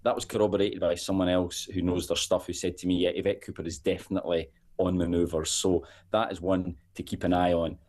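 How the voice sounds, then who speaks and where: Scottish man with a low voice. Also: flat delivery, a little mellow. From Scotland. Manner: measured, repeats words while thinking. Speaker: political editor guest. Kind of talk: political commentary on talk radio.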